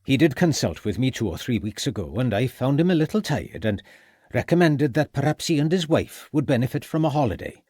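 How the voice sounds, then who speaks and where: A man's voice: medium pitch, sing-song, fairly quick, darkish tone, no echo, studio quality, pitched above the performer's own voice. A man voicing a self-important elderly country doctor with a pronounced Welsh accent. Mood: pompous. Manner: pompous, assured.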